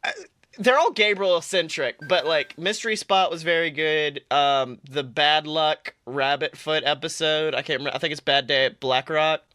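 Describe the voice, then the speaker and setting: A young man rambles and jokes through a casual fan chat with lots of fillers. His voice is bright and a touch high. The recording is close and dry. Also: steady tone, normal speed, dry recording. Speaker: podcast co-host.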